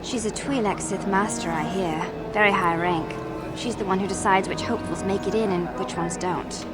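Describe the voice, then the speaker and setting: An actress playing an uncertain young Sith Academy hopeful in a video game, plain-spoken and a little resigned. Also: female voice, mid-range voice, steady tone, moderate pace, loud music behind the voice, close to an everyday voice. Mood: helpful, unsure.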